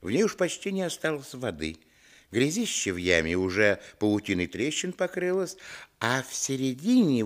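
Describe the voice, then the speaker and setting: An actor playing a grown man recalling his boyhood, narrating a radio play in a wry, expressive way. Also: fairly low pitch.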